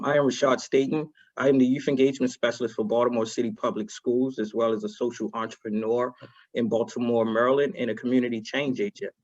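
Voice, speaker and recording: A man introduces himself as a youth engagement specialist over a video call. He speaks in an energetic, declamatory way. The audio sounds dull and lo-fi. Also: mid-range voice.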